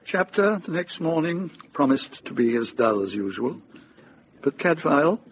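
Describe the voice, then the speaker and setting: A man narrating a radio drama as a calm, literary storyteller, unhurried and lyrical. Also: on the high side, highs cut off, background noise.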